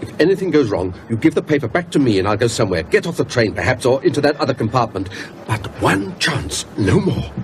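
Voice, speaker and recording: A man's voice: sing-song, fast talker. An actor playing an anxious, weary, haunted man in a radio drama, fretful and resentful. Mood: weary, resolute. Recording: loud vehicle rumble and ambience.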